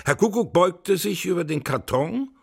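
A man's voice: high voice, animated, normal speed, gravelly, slightly rough. A man narrating a scripted children's radio story as the storyteller. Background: music.

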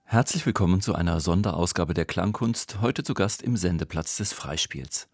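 A man's voice: deep voice, normal speed. A man presenting a radio programme, reading a scripted introduction in a studio.

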